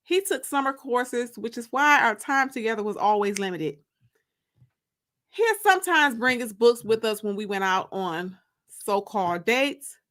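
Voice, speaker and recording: A woman narrating a first-person story aloud in an expressive, confiding, emphatic way. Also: on the high side, measured pace.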